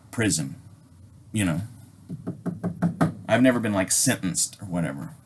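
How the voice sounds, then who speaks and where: A man's voice: fairly high pitch, fairly bright tone. An American man telling a personal story casually, rambling and emphatic, swearing heavily with abrupt stops and repeats. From Alaska, United States.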